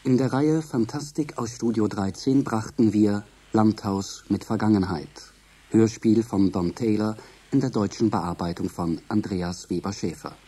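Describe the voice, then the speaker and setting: A man's voice: lowish voice, unhurried, dark tone, breathy edge. A man reading radio play credits as a formal, scripted broadcast announcement.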